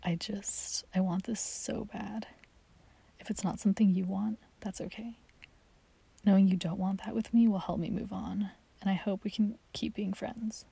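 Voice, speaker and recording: A woman playing a lovestruck, submissive friend confessing her longing in an intimate audio roleplay, in a hushed, breathy, hesitant whisper. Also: mid-range voice, fairly even intonation, normal speed, no echo. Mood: nervous, pleading.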